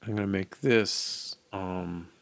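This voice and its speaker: An older man talks himself through app code at a very slow pace. His voice is fairly low with a lot of fry. He is casual and tentative, musing half to himself in a dry, close recording. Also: steady tone, no echo. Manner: hesitant, muttering to himself, musing. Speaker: programmer live-coding a mobile app. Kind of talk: casual think-aloud coding commentary. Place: small indoor room at a desk.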